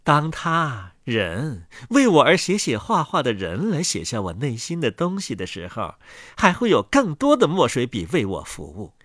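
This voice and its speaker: A man's voice: medium pitch, huge pitch swings, normal speed, a bit bright, dry recording, studio quality. A man voicing a boastful, haughty old inkwell in a theatrical storybook reading, pompous and full of self-admiration. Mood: indignant scorn.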